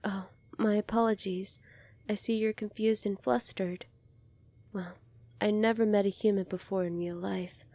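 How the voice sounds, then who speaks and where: A woman playing a gentle, earnest young alien princess in an ASMR roleplay, speaking softly and politely to the listener. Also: medium pitch, steady tone, a little slow, dry recording. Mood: apologetic. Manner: soft-spoken, polite, earnest, apologetic.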